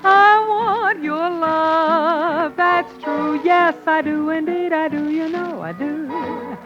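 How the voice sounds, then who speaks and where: A woman on a 1940s radio variety show, chatting and singing slow, drawn-out ballads, on a muffled old broadcast. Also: extremely high pitch, loud music behind the voice.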